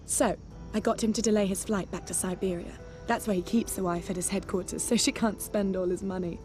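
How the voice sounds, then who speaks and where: Actress as a flirty, worldly socialite spy. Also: female voice, medium pitch, fairly even intonation, brisk, lightly acted. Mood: gossipy, breezy. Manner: playful, arch, self-assured. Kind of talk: video game cutscene acting. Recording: music behind the dialogue.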